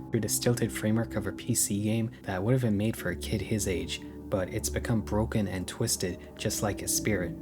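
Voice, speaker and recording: A man narrating a video essay in a casual, opinionated way. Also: on the low side. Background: music.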